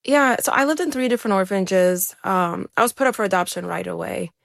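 Young woman from ukraine, gravelly low voice, talks earnest and candid, dry sound. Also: a little fast, fairly bright tone, no room sound. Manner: earnest, conversational, with frequent restarts. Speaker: interview guest. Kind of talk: candid personal storytelling.

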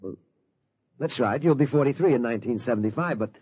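A man's voice: mid-range voice, quick pace, a little mellow, slightly rough, no echo, close to an everyday voice. An actor playing a worried middle-aged lawyer and father in a 1940s radio drama, stammering and flustered. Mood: bewildered. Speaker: father of the boy. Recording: lo-fi old radio recording.

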